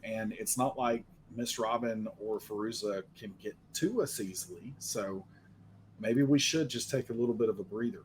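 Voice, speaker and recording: A man's voice: fairly low pitch, moderate pace, a little hiss or hum. A man playing a tabletop game, speaking casually and tentatively, repeating words as he makes suggestions.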